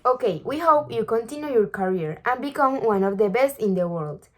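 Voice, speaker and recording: Woman, higher voice, host, scripted intros and questions, read aloud. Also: expressive, moderate pace. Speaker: interview host.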